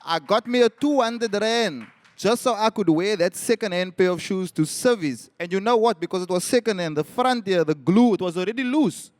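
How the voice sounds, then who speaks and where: A man from South Africa speaks as a guest on a live panel, his voice sitting high for a man. He tells a story with wry, animated emphasis, pushing up in pitch when he lands a point. The sound is dry and close, with no room around it. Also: normal speed, few pauses, no echo.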